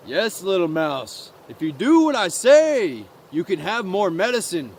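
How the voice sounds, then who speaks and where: A man voicing a calm, sly, wise frog in a story, speaking in a measured, coaxing way. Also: sits high, slight background noise, full character voice.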